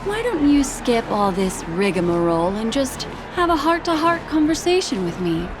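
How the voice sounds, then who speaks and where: A woman playing a poised, knowing and mysterious lady in a video game, speaking in a composed, coy and measured way. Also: fairly high pitch, big pitch swings, noisy background. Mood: coy teasing.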